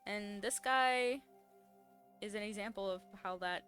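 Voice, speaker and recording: A woman's voice: mid-range voice, measured pace, fairly bright tone. A woman gamer giving casual, slangy live reactions, blunt and often incredulous. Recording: game music behind commentary.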